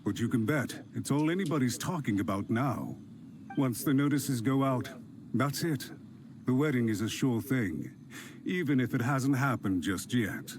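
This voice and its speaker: A man's voice: medium pitch, lively intonation, normal speed, background noise, natural voice. A man voicing a smug scheming official. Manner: sneering, indignant. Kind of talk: game cutscene voice acting.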